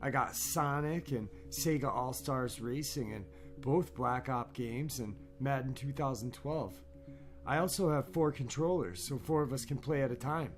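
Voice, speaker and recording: A man acts out an easygoing young gamer in an audio drama. His pitch is average and his delivery casual and matter-of-fact. Faint music plays behind him. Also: measured pace, close to an everyday voice. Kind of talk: amateur audio drama acting. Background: background music.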